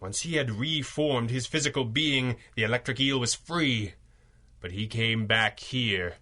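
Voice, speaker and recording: Confident pulp hero, crisp forceful tone, eloquent, lawyerly, declamatory, radio drama. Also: male voice, medium pitch, unhurried. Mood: pressing, triumphant. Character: confident, shrewd masked superhero arguing like a lawyer. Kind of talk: 1930s radio serial acting.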